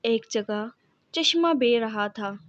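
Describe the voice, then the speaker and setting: A woman's voice: a little high, lively intonation, slow pace, lo-fi sound, background noise. A woman reading a scripted children's story as its narrator.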